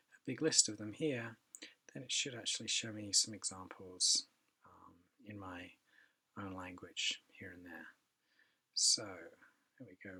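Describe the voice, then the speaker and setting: A man talks through a software demo in a relaxed, offhand way, pausing often to think aloud. His voice sits a little low, with a bright, forward edge and a slightly husky grain. It sounds like he is recording at his computer. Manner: relaxed, thinking aloud with many ums and asides. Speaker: product demonstrator. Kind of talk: casual software demo walkthrough.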